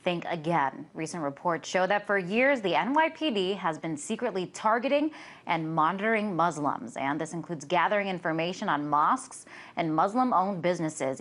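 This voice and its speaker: Low-voiced woman hosting news. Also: fairly bright tone. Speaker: news show host interviewer. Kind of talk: broadcast news interview.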